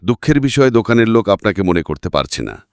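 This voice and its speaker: A confident male detective played by a man reading a story, methodical and pressing. The pitch swings widely as he drives each point home, and the recording is close and dry. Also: sing-song, fast, no room sound. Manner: methodical, emphatic, accusing. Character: confident male private detective laying out a murder case. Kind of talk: dramatic audiobook character reading.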